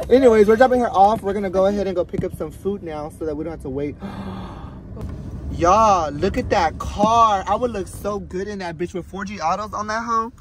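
A man's voice: sits high, moderate pace, background noise. A young man hosting a vlog from inside a car, chatting casually, swearing and laughing a lot. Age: young adult. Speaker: vlog host. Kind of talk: casual chat. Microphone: phone camera on the dashboard.

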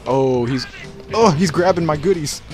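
A man's voice: a little high, normal speed. Jokey man doing game commentary over music. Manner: jokey, profane, playfully mimics game lines. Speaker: let's play commentator and player. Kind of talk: casual joking game commentary. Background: fighting game music.

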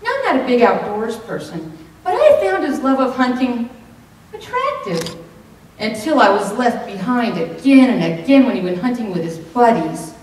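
A middle-aged woman performing a comedic tall tale on stage, with theatrical, deliberate delivery and dramatic pauses. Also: on the high side, very animated, a little mellow. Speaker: speech contest contestant. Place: hotel banquet room, indoors. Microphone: far camera microphone. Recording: echoey room from far off, some noise.

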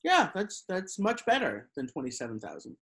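A man's voice: sits high, wildly swooping pitch, normal speed, a bit bright. A middle-aged man interviewing a guest on a video call from a room at home, asking plain conversational questions. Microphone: laptop camera on a video call.

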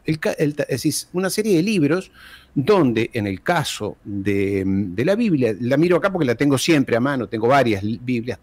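A man talks as a guest historian over a video call. His pitch rises and falls a great deal, and he hammers his points home with rhetorical questions and repeated phrases. The sound is dry and close, from a large desk microphone in a small room at home. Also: darkish tone, dry recording.

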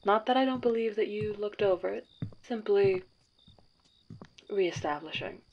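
A woman's voice: mid-range voice, unhurried, close to an everyday voice. A woman playing a formal dating agency matchmaker. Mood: briefing. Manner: formal, precise, clipped. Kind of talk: audio drama roleplay. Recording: dull lo-fi sound, faint hiss.

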